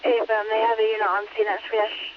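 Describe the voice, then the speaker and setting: High-pitched female dispatcher on police radio. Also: level tone, fast talker. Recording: muffled, noisy police scanner radio.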